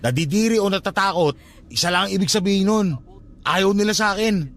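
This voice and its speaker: A man's voice: high-pitched, a little fast, fairly bright tone. An actor playing a frightened, stubborn young man haunted by a ghost in a radio drama, defensive and heated. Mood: bitter.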